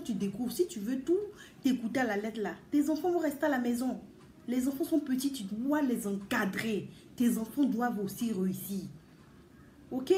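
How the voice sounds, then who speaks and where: An earnest woman talks straight to her viewers, pressing her points by repeating them. Her voice sits fairly high but sounds mellow, and the room around her is echoey. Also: slight background noise. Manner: earnest, emphatic, repeats words and addresses listeners directly. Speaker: vlogger giving advice to mothers. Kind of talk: casual advice talk to viewers.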